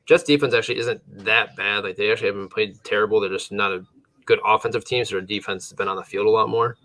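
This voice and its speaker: A man with a lowish voice chats loosely on a sports podcast from his home setup. He sounds a bit tired and rambles, restarting sentences and chuckling. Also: steady tone, fast, a bit bright. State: a little tired. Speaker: podcast co-host. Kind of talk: casual sports chat.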